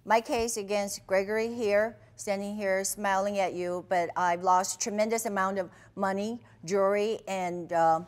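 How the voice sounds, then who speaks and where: A woman's voice: mid-range voice, fairly even intonation, a little slow, hardly any pauses. A middle-aged woman from China with a Chinese accent, pleading her case hesitantly as a plaintiff with many fillers. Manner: hesitant, with fillers, flustered and protesting. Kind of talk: courtroom pleading. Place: TV courtroom set.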